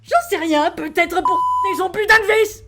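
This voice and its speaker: A woman's voice: sits high, expressive, normal speed. A woman voicing a foul-mouthed, road-raging male demon hitman boss in a theatrical, exclamatory amateur cartoon fan dub. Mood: exasperated. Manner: brash, exclamatory, swearing, theatrical.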